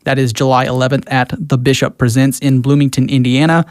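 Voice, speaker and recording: A man's voice: medium pitch, little pitch movement, brisk, dry recording. An American man presenting a local music show on the radio, speaking from a studio.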